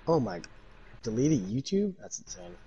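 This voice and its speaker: A man's voice: fairly high pitch, very animated, normal speed, gravelly, highs cut off. A young adult man chatting casually and jokingly on a livestream, poking fun at himself and others. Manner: joking, self-mocking banter. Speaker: fan fiction reader and narrator. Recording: noisy amateur livestream microphone.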